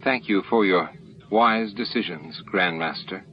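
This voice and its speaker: A man's voice: low-pitched, measured pace, lo-fi sound, slight background noise. A man voicing an earnest young male alien official in a formal, persuasive manner for an audiobook. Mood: respectful.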